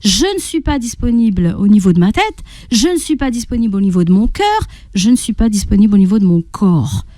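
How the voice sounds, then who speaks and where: A woman's voice: medium pitch, animated, brisk, warm tone, no echo. A woman giving explanatory advice as a guest coach in a radio interview, teaching through everyday examples and frequent rhetorical questions. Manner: didactic, often checks in with rhetorical questions and acts out inner speech. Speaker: guest parenting coach and therapist. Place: radio studio.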